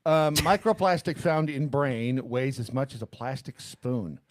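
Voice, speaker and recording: A man's voice: medium pitch, measured pace, slight background noise. A man co-hosting a talk show in casual banter, rambling and playfully interjecting.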